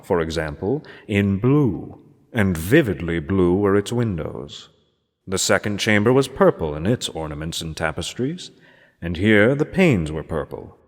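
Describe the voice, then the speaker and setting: A man with a very low voice narrates a classic gothic horror story in a measured, theatrical way. His formal delivery rings out in a long, cavernous reverb. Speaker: amateur reader of a horror story. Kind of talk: dramatic scripted narration of gothic literature.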